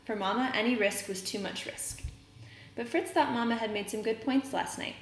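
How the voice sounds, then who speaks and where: A woman reading a children's novel aloud, pausing to explain words as a teacher would. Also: a little high. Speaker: read-aloud reader and teacher. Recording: roomy echo, noticeable background noise.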